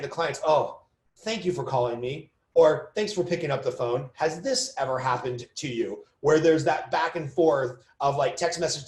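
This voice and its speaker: Higher-voiced male coach in an echoey room. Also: darkish tone. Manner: conversational, emphatic, repeats key phrases for stress. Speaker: business coach hosting a mortgage coaching call. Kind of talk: informal motivational coaching talk to an online audience. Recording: roomy, echoing sound.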